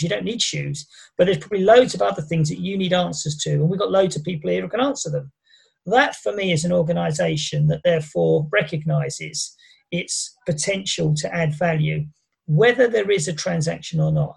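High gravelly man, husky voice. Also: a little mellow. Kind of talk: business discussion.

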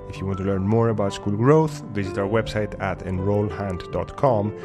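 A man reading a scripted podcast outro in a smooth, even way. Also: lowish voice. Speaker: podcast announcer.

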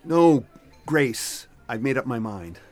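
Slow-speaking, curt middle-aged husband. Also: male voice, mid-range voice, expressive, a little mellow, soft background music. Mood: cold, impatient. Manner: curt, detached. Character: weary, resolute middle-aged husband, cold and impatient. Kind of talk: audio drama dialogue.

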